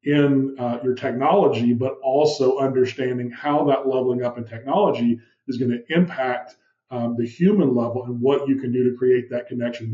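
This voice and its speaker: A man with a big, commanding voice explains ideas on a podcast, asking rhetorical questions along the way. His tone is mellow and dark. The audio sounds muffled and echoey, as if from a roomy remote setup. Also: medium pitch. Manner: animated, uses vivid room analogies and rhetorical questions. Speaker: podcast guest, business founder. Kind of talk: explanatory interview talk.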